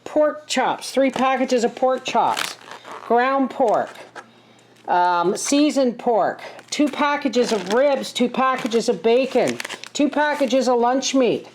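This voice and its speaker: A woman's voice: fairly high pitch, expressive, takes it slowly. A middle-aged woman with a head cold and a scratchy throat, a homesteading host chatting earnestly to camera. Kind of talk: casual talk to camera.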